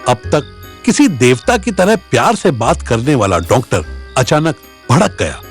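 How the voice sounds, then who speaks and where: A man's voice: mid-range voice, sing-song, measured pace, faint music behind. A man with an Indian accent narrating a scripted dramatic audio story.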